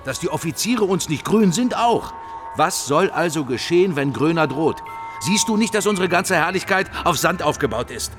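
An actor playing a wary, irritated head of government in a radio drama, insistent and sardonic. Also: male voice, on the high side, lively intonation, moderate pace, natural voice. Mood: pressing, alarmed. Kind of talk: German radio drama acting. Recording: long reverb, music underneath.

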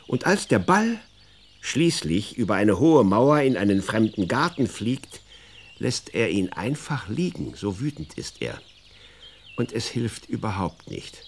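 A man's voice: lowish voice, slight background noise. A man narrating a scripted children's story, addressing his young listeners directly as a storyteller.

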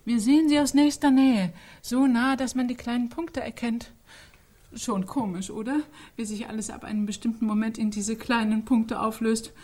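A woman in a German radio play acting a coolly fascinated observer, musing aloud in a soft, dark, husky voice. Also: on the high side, steady tone, normal speed, natural voice.

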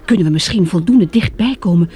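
Very husky plucky young woman. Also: lowish voice, fairly quick, mellow. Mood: alert. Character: Joselle, plucky, compassionate young female survivor. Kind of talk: radio drama dialogue.

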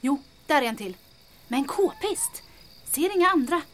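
A woman's voice: sits high, animated. A woman playing a spirited, practical young woman in an audio drama, eager and exclamatory as she bickers with her companion. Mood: watchful.

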